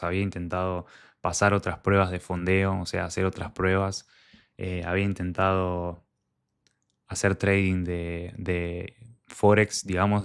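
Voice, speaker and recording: A man's voice: low-pitched, smooth. A young man from Argentina with an Argentine Spanish accent, telling his personal story in a candid, conversational way and quoting his own past thoughts. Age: young adult.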